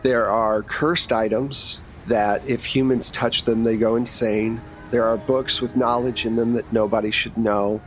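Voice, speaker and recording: Man voicing a grave, deliberate female agent recruiter. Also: mid-range voice, steady tone, slight background noise, lightly acted, poor audio. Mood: grave, persuasive. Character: no-nonsense female government agent recruiting a newcomer.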